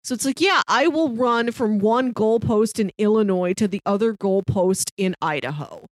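A woman speaks as a podcast co-host, recommending things in a casual, conversational style. She is earnest and enthusiastic, building points in emphatic lists. Her pitch is average and the sound is dry and close. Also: moderate pace, no room sound. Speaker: podcast co-host reviewing shows.

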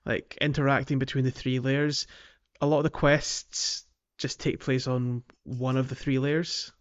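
A man's voice: mid-range voice, flat delivery, measured pace, dull audio. A man co-hosting a video game podcast in casual, enthusiastic chat, often feeling his way through a thought. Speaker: podcast co-host.

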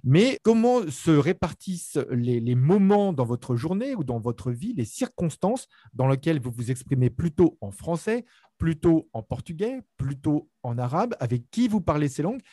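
Man, interviewer, formal measured questions, lively intonation, slightly husky. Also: a little high, no echo, studio quality.

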